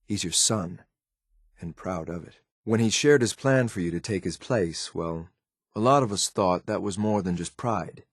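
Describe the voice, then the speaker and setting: An actor playing a loyal, coldly disdainful synth soldier in a video game, speaking in a clipped, military, deferential but blunt way. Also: male voice, sits low, normal speed, husky, dry recording, clean studio sound. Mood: grave, measured. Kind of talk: video game companion voice lines.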